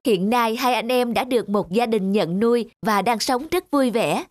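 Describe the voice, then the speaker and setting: A woman's voice: a little high, moderate pace, natural voice. Cartoon TV reporter played by a woman, solemn news delivery, formal and heartfelt, music behind. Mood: solemn, warm.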